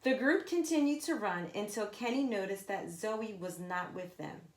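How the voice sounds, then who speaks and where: A woman reading a children's story aloud like a teacher, with emphatic, expressive narration addressed to young listeners. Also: medium pitch, moderate pace. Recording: echoey, roomy sound.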